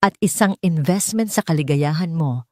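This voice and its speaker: A woman with a Filipino accent voicing a calm, gentle billionaire man in a romance audiobook, with restrained delivery. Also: deep voice, moderate pace, dry recording, clean studio sound. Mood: gentle, sincere.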